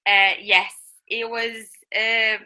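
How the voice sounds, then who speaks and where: A woman's voice: on the high side, little pitch movement, slow pace, sharp tone. A woman in her thirties from eastern Europe, not a native English speaker, telling her story in an interview with many hesitations. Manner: hesitant, many fillers and restarts, laughs often. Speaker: guest. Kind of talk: first-person interview account.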